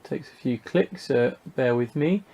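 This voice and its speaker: A man's voice: mid-range voice, unhurried, gravelly, warm tone. A British man giving a casual, unscripted hobby review, talking through what he shows in a hesitant, rambling way, thinking aloud.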